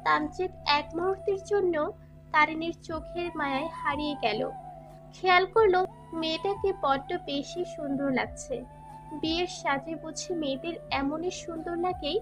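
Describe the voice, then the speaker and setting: A woman narrates a romantic audio story in a very high, girlish-pitched voice. She reads in a scripted dramatic style, with clear music playing behind her. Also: normal speed. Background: background music.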